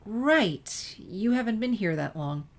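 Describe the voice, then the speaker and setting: A woman voicing an excitable, bookish teenage girl with an eager, gushing manner in a story reading. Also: medium pitch, takes it slowly, pitched above the performer's own voice. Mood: curious. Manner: gasping, gushing, eager questions.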